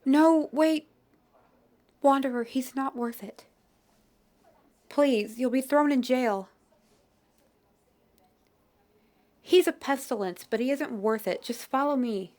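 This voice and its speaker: A woman's voice: sits high, a little slow, pauses often. Inga, a woman playing a shy young flower girl. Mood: warm, grateful. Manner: soft-spoken, polite, old-fashioned phrasing. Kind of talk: fantasy audio roleplay.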